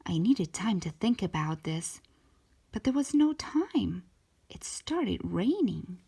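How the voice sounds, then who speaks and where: Storytime host, female: very lively intonation, slightly husky, unhurried, expressive children's narration. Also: mid-range voice. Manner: expressive, drawn-out emphasis for young listeners. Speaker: storytime host reading a children's book aloud.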